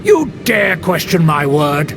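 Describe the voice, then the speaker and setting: Pompous, high-pitched nobleman. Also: big pitch swings, slow, creaky, exaggerated voice. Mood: indignant. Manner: pompous, imperious, formal. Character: haughty scheming nobleman of a fallen clan. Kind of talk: fantasy video game voice acting. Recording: game music behind the voice.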